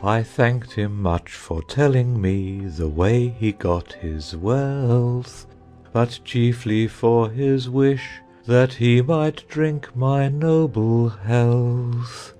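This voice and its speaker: A man plays a mild, earnest storybook knight with a soft-edged, mellow voice. He is wistful and a little doubtful, and faint music sits behind him. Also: medium pitch, very slow. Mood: wistful, singsong. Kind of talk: dramatized audiobook acting.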